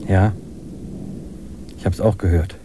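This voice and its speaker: A man playing a calm, dreamy camper in a radio play dialogue. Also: deep voice, slow, background noise.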